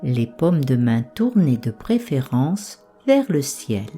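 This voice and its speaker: A woman guiding a yoga nidra relaxation, giving calm, soothing, measured instructions with pauses. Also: deep voice. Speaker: meditation guide.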